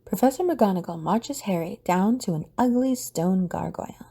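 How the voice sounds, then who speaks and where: A woman reading scripted story narration for an audio drama in a mellow, husky voice. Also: mid-range voice, animated, moderate pace.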